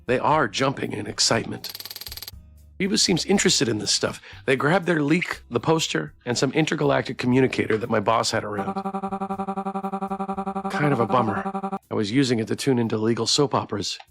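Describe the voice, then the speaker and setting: A man's voice: mid-range voice, expressive, fairly quick, gravelly, fairly bright tone, soft background music. A man streaming a game, reading the story aloud expressively and chatting with viewers as he goes. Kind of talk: playthrough narration with chat banter.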